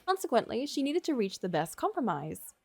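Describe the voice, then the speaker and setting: A woman game streamer reading a visual novel aloud in an expressive storytelling way, with casual asides. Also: fairly high pitch, a little fast, no echo.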